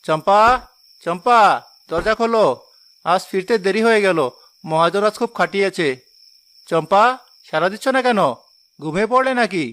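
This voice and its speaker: Man playing a high-voiced, hot-tempered village husband. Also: moderate pace, pauses often, a bit bright, smooth. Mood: tired, calling. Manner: exclamatory, questioning. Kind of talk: Bengali cartoon moral drama voice acting.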